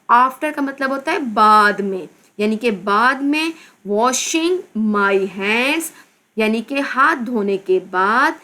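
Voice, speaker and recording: A woman teacher from Pakistan with a Pakistani accent, slowly reading a children's English textbook aloud and explaining each word. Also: fairly high pitch, fairly bright tone. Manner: addresses pupils as "beta". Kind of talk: slow textbook lesson with translation.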